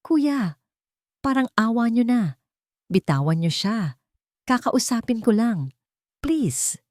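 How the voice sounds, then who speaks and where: A woman's voice: on the high side, big pitch swings, slow, darkish tone, no room sound, voice pushed up. A woman reading the part of a scared young mother in an audio love story, her voice trembling as she pleads. Close, dry sound. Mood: desperate.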